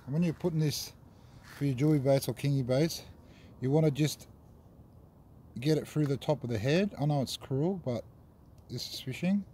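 A man's voice: fairly high pitch, moderate pace, pauses often, dark tone, a little hiss or hum. A young Australian man giving casual fishing tips on a harbour wharf at night, rambling and swearing now and then, filmed on a phone. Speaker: fishing vlogger. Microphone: phone camera held close.

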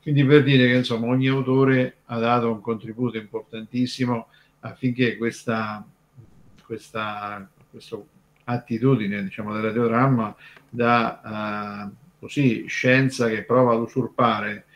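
Male, mid pitch. Informal radio expert, discursive and halting, with emphatic key words. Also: level tone, muffled recording. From Terni, Italy.

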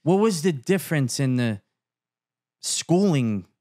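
A man's voice: fairly high pitch, animated, slow pace, a little mellow. A middle-aged man, hosting a podcast and chiming in agreeably with his guest. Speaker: podcast host. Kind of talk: casual interview chat. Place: podcast studio, indoors. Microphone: studio mic with headset.

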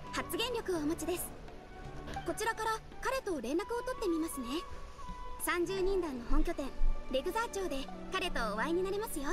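A woman voicing a composed young guild receptionist in an anime game, speaking formally and politely. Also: very high-pitched. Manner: formal, courteous, measured. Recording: game music behind the voice.

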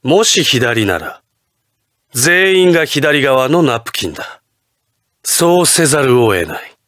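An actor playing an imperious, ruthless patriotic president in a Japanese anime fighting game, with grandiose, theatrical declarations. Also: male voice, medium pitch, big pitch swings, takes it slowly, a bit bright, no echo, clean studio sound. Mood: commanding, solemn.

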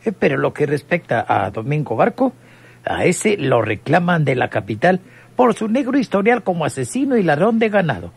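A small-town mayor in a radio drama, heavily creaky and hoarse-edged. He talks in stiff official phrases broken by constant hems, his pitch swinging widely. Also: big pitch swings, moderate pace, heavily acted. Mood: officious, hesitant. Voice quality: gravelly, husky. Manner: constant "eh" hesitations, stammering officialese. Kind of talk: old radionovela acting.

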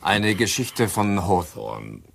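An actor playing a bookish doctor who muses hesitantly as an amateur sleuth in a German crime radio drama. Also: male voice, sits low, sing-song, slow pace, creaky, a little hiss or hum, heavily acted. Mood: musing. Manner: hesitant, musing, storytelling.

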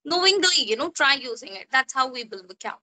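A woman's voice: high voice, big pitch swings, a little fast, sharp tone. A young woman with an Indian English accent, a native Hindi speaker, tutoring English casually on a video call and often adding "you know" and "right?". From Jharkhand, India. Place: small room indoors. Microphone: phone at arm's length on a video call.

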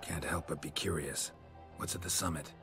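A man playing a gruff, stoic, grizzled monster hunter in a fantasy game, terse and deadpan. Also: very deep voice, normal speed, music in the background. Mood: dry.

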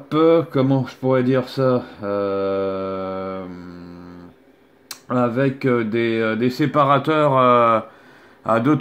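A man's voice: medium pitch, fairly bright tone. A man chatting casually as an amateur radio operator, hesitant and often tagging his phrases.

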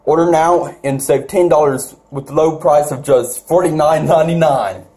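A young man playing a pushy prank caller who delivers relentless wrestling pay-per-view sales pitches. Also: moderate pace, mellow, natural voice. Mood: hyped, teasing. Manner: relentless, promotional.

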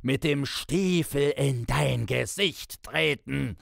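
A man's voice: medium pitch, slow, gravelly, dry recording, studio quality, voice pushed up, full character voice. A man voicing an enraged, indignant man who bellows helpless curses in a dramatised literary story. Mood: screaming rage. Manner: bellowing, ranting in exclamations and insults.

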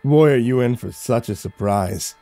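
A man voicing a cocky, craggy male grave robber and villain in an audiobook, with a slow, mocking drawl. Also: mid-range voice, mellow, dry recording, soft background music, lightly acted.

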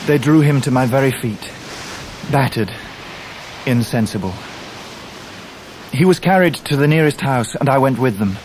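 An actor playing an earnest grown gentleman who narrates his own story in a radio play, with an English accent and measured, vivid delivery. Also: mid-range voice, lively intonation, natural voice. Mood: grave, sorrowful. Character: grown David Copperfield, earnest grieving male narrator. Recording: noisy surf and wind behind voice.

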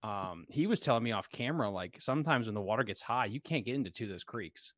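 A man's voice: fairly low pitch, expressive, quick pace, a bit bright, dry recording. A man in his thirties hosting a casual group podcast chat, talking into a close desk microphone. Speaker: podcast host.

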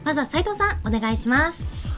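A woman's voice: high voice, lively intonation, a little fast. A woman hosting a talk show, politely presenting and chatting lightly into a desk microphone. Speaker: host. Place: small studio, seated at desk. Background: background music.

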